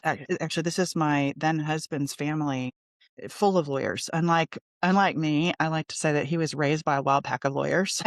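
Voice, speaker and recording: A woman's voice: low voice, no echo. Middle aged american lady chatting on a podcast, candid and informal, really dry room sound. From Texas, United States. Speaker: podcast guest, lawyer and coach. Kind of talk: conversational interview storytelling.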